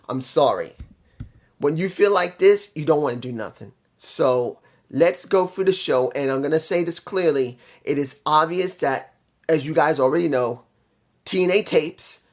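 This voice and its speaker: A man's voice: fairly high pitch, normal speed. A man with a congested, nasal voice from allergies, giving a casual spoken review of a wrestling show with rambling restarts.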